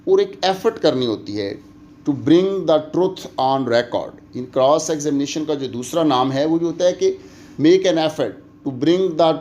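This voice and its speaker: Man, Pakistani accent, mid-pitched voice, didactic lecturer, code-switches into English, slight background noise. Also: a little mellow. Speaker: law lecturer. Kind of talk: explanatory lecture, switching between Urdu and English.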